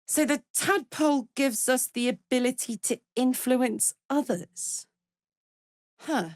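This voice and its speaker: A woman's voice: sits high, takes it slowly, darkish tone, no echo, clean studio sound. A woman voicing a brash, big-hearted, hot-tempered warrior in a fantasy video game, speaking bluntly, informally and with open emotion. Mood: wry, casual.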